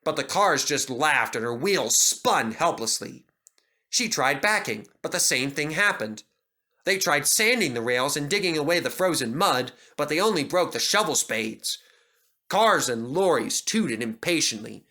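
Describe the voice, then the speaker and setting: Male storyteller, bright, animated, reads a children's railway story. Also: a little high, measured pace. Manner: lively, expressive. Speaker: narrator. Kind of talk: storybook reading.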